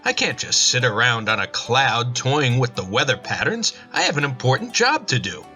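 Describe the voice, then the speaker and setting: A stern male god of the underworld for an audio drama. The delivery is bright and forceful with lively swings in pitch, earnest and businesslike, over clear music. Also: normal speed. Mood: stern, impatient.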